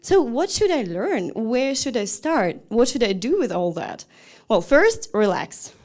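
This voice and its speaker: Woman, language teacher, lively swooping pitch, higher voice, chatty lecture. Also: unhurried. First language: Russian. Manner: animated, chatty, asks the viewer questions. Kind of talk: lecture to camera.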